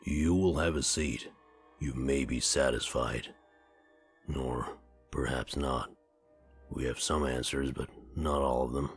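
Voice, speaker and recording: A man's voice: a bass voice, fairly even intonation, slow pace, long pauses, breathy edge, soft background music, heavily acted. A man playing an ancient, many-voiced spirit in a possessed body for a visual novel game, speaking formally and cryptically of itself as we. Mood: calm, commanding.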